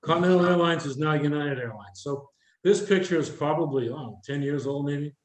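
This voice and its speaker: An older man with a slightly high voice speaks in a roomy, echoing space. He presents like an informal instructor, explaining step by step and quizzing his listeners as he goes. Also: fairly quick. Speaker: presenter, retired air traffic controller. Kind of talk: career workshop presentation, explaining with questions to the audience. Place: indoor room with a live audience.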